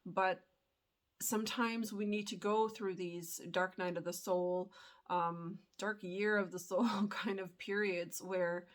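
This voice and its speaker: A young adult woman with a stuffed-up voice from a cold, talking earnestly to camera in a reflective vlog with many pauses and fillers. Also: medium pitch, steady tone. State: congested from a cold. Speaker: spiritual YouTuber.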